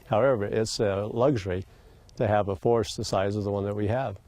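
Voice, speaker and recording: Mellow, lowish middle-aged man. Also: fairly even intonation, a little fast, no echo. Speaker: interviewed resident, retired police officer. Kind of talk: unscripted interview soundbite.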